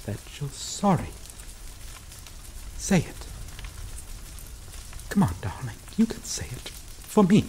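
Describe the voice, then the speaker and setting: A woman playing a grieving, possessive vampire in a dramatic ASMR roleplay, speaking haltingly and emotionally with long pauses, vengeful and pleading. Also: low voice, a little mellow, slight background noise, exaggerated voice, low-quality recording. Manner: halting, slow, emotional delivery with drawn-out pauses.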